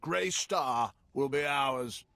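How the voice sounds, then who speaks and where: A man's voice: mid-range voice, slow pace, gravelly, bright, forceful tone, dry recording, full character voice. A man playing a genial, wry older intelligence chief in a video game, with urbane, theatrical delivery. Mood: grave, scheming. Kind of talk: dramatic video game dialogue.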